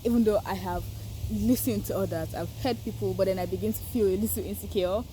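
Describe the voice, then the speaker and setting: A woman with a Nigerian accent reflecting aloud in an unscripted, hesitant way, pausing to search for words. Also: medium pitch, steady tone, a little hiss or hum, poor audio. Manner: thoughtful, hesitant, searching for words, soft laughs. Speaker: participant sharing reflections. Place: outdoors.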